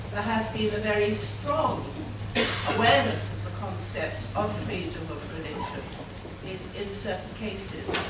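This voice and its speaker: A woman in the audience at an academic conference, raising a loud, direct question. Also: mid-range voice. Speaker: audience member asking a question. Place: conference hall. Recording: echoey hall, distant, noisy.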